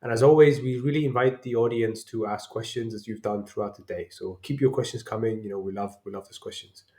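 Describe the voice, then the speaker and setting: A man's voice: fairly low pitch, fairly even intonation, a little fast, mellow. Male event host introducing a speaker. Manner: friendly, loose, with filler sounds.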